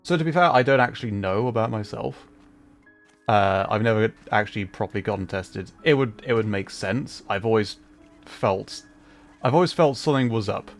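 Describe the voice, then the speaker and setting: A man with a fairly low voice hosts a laid-back gaming stream. He talks casually and carefully, often hedging as he goes, with faint music playing behind him. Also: lively intonation, moderate pace. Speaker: livestream host, gamer. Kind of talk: casual livestream chat while playing a video game.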